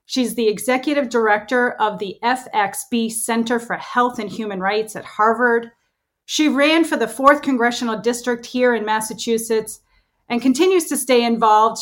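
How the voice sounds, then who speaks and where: A woman's voice: on the high side, normal speed. A woman hosting a podcast, introducing and welcoming her guest with enthusiasm. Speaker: podcast host.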